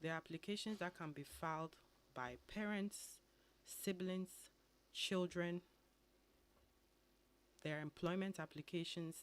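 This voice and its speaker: A woman with a Ghanaian accent, an immigration attorney answering questions calmly and methodically as a radio studio guest. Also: on the low side. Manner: measured, explanatory.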